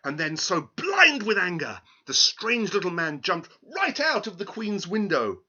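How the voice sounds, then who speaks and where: A man's voice: high voice, wildly swooping pitch, a little slow, sharp tone, breathy edge. A man reading a children's story aloud in a dramatic, emphatic way, on a dull, lo-fi recording.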